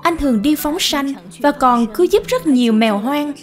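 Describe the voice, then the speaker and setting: High-voiced woman narrating over music. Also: lively intonation, normal speed. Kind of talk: scripted narration of a moral animated story. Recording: music behind the voice.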